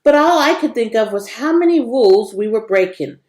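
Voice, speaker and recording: A woman reading a children's story aloud as expressive narration, with varied intonation. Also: on the high side, moderate pace. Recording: echoey room.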